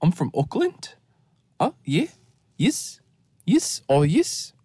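A man's voice: high-pitched, huge pitch swings, slow, darkish tone, breathy edge, no room sound, studio quality, voice pushed up, heavily acted. A man putting on a New Zealand accent, with every phrase rising like a question, recorded on a phone. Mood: chirpy.

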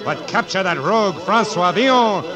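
A man's voice: high-pitched, lively intonation, moderate pace. An actor playing an imperious, scheming, hot-tempered king with a commanding, sardonic 1940s radio drama delivery. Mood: furious. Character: imperious, scheming male king, quick to anger. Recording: 1940s radio broadcast under loud music.

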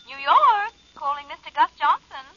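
A formulaic switchboard operator in an old radio show. Her voice is high, bright and singsong. The recording is muffled. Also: animated, normal speed. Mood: businesslike. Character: brisk, singsong female telephone operator. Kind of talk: 1940s radio acting.

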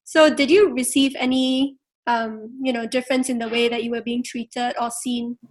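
High-voiced woman interviewer. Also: fairly even intonation, normal speed. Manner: friendly, rambling questions with "you know" and "right". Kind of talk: casual interview questions.

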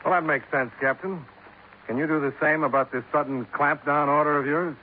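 An actor playing a cool, level-headed American insurance investigator, dry and matter-of-fact in a 1950s radio detective drama. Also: male voice, on the high side, fairly even intonation, a little fast, narrow, tinny audio, slight background noise, close to an everyday voice. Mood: dry, probing. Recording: thin 1950s radio recording.

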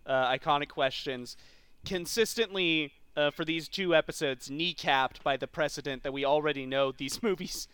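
A man's voice: on the high side, normal speed, fairly bright tone, clear, resonant tone, dry recording. A man hosting a casual podcast about films, talking in a rambling, geeky, enthusiastic way. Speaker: podcast host. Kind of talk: casual film discussion podcast.

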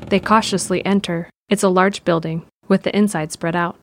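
Woman narrator, lower register, for scripted audio drama storytelling. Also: steady tone, normal speed, gravelly, no room sound.

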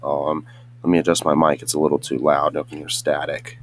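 A young man hosting a casual solo podcast, hoarse and a bit congested from just waking up, rambling with many restarts and fillers. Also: a bass voice, little pitch movement, a little fast, slight background noise. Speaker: podcast host.

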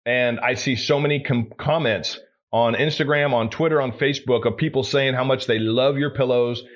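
A man's voice: medium pitch, steady tone, moderate pace. Enthusiastic affirming male podcast host. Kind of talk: interview.

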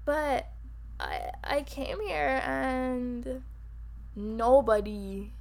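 Bajan-accented woman co-host, rapid and emphatic, a bit high-pitched. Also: sing-song, gravelly, darkish tone. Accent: Barbadian. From Barbados. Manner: fast-talking, emphatic storytelling. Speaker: podcast co-host. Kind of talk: casual chat.

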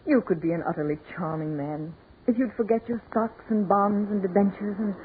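A woman's voice: mid-range voice, normal speed, natural voice. An actress playing an earnest, poised, reproachful society woman in a 1940s radio drama. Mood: wry, exasperated. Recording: scratchy 1940s radio broadcast, muffled, noisy.

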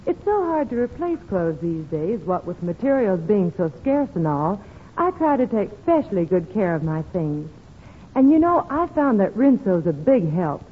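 An actress playing a chatty, thrifty housewife in a 1940s radio commercial, on a tinny old recording. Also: female voice, mid-range voice, sing-song, moderate pace, breathy edge. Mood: chatty, pleased.

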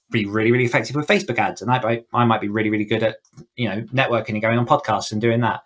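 A man's voice: lowish voice, fairly bright tone. A man speaking as a guest author and writing coach, explaining things conversationally and often rephrasing as he goes.

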